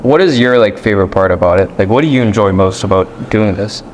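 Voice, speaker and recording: Man asking halting questions. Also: lowish voice, animated, a little hiss or hum. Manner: curious, halting questions full of "like". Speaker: podcast host. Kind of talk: casual interview chat.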